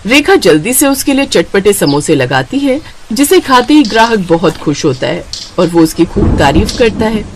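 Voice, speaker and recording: A woman's voice: mid-range voice, big pitch swings, moderate pace, hardly any pauses, a little hiss or hum. A woman narrating a scripted children's story as a storyteller.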